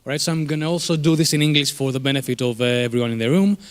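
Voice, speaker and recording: A Greek-accented man in his thirties speaks at a conference in a room. His voice sits a little high. He talks loosely and conversationally, with frequent fillers and restarts. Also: racing. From Greece. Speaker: conference speaker, startup co-founder. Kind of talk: conference presentation.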